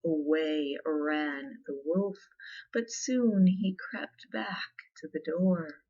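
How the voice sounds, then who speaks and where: A woman speaking softly and deliberately in a soothing ASMR storytelling style. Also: deep voice, steady tone, lo-fi sound. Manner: hushed, slow, deliberate, soothing. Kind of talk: soft-spoken ASMR fairy tale reading.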